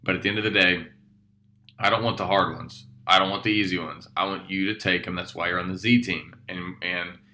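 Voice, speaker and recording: An American man with a fairly low, bright and forceful voice. He talks like an informal sales trainer, colloquial and emphatic, pushing his points with upbeat conviction. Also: fairly even intonation, a little fast, a little hiss or hum. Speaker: real estate sales trainer. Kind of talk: informal training talk with acted-out phone calls.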